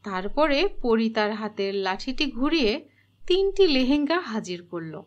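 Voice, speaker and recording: A woman's voice: a little high, sing-song, unhurried, lo-fi sound. A woman reading scripted storybook narration for a children's cartoon.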